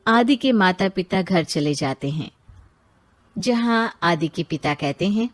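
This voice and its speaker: A woman's voice: on the low side, expressive, a little slow. A woman narrating a scripted story.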